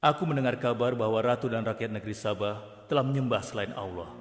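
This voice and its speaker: A man voicing a stern, commanding king and prophet in a cartoon, speaking in a measured, regal way. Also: on the low side, lightly acted. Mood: solemn. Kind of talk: cartoon voice acting. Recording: music bed behind.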